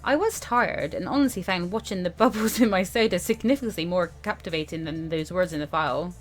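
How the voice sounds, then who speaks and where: A woman's voice: fairly low pitch, a little fast, close to an everyday voice. A woman voicing a young female student in a visual novel, flippant, easily bored and flustered, with a hesitant, whiny, rambling manner. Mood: sheepish. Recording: music behind the voice.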